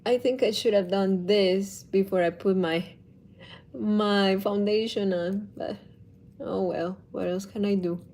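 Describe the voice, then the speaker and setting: A woman in her thirties speaks slowly and deliberately, like a parenting coach talking straight to her viewers. Her pitch sits in an ordinary middle range, and she often restarts a phrase to get it right. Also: fairly even intonation, darkish tone, a little hiss or hum. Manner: slow, thoughtful, with restarts and self-corrections. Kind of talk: casual talk to camera.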